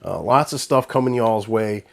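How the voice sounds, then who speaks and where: A man's voice: lowish voice, normal speed, gravelly. A man with a stuffy, congested voice, casually rambling through commentary as a channel host. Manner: rambling, conversational, with fillers and restarts. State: congested, nasal from a cold. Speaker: YouTube host. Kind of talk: casual talking-to-camera commentary.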